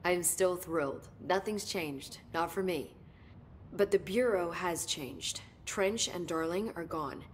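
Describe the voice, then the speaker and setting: An actress playing an earnest, sharp-witted research scientist in a video game, articulate and clinical in her wording. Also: on the low side, measured pace, slight background noise, close to an everyday voice. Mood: earnest, analytical. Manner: articulate, clinical wording, emphatic when stirred. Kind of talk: naturalistic video game acting.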